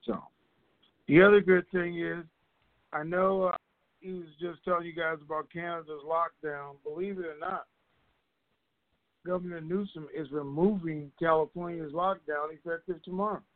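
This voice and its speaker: A man speaks over a dull, narrow conference-call line with very little room sound. His voice sits a little high. He hosts informally, rambling along in a conversational way as he addresses the listeners. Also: steady tone, normal speed, no echo.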